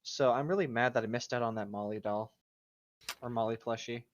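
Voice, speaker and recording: Man, middle pitch, informal read-along, casual chat, slightly muffled, no room sound. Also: brisk, slight background noise. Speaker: co-reader on a group creepypasta reading.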